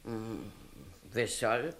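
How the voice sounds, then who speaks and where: Slow, stubborn village matron. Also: female voice, a bass voice, a bit bright, full character voice. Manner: deliberate, dogged. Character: stubborn village matron, mother seeking justice for her jug. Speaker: actor. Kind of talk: 1960s German radio play acting.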